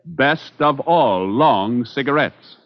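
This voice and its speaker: A man announcing in formal 1950s radio style, measured and official, with declarative scripted delivery. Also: mid-range voice, lively intonation. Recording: muffled 1950s radio broadcast.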